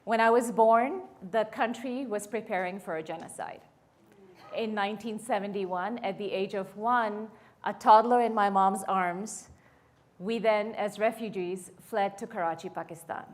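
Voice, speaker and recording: A woman's voice: medium pitch, a little slow. A woman in her fifties, from Bangladesh, telling her life story to an audience as a guest speaker and asking them questions along the way. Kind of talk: personal story told to an audience.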